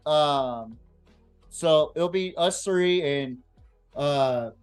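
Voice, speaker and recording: A man hosting a casual, unscripted sports podcast, swearing freely and speaking bluntly in slangy, halting phrases that often repeat. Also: a little high, clear, resonant tone, soft background music. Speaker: podcast host.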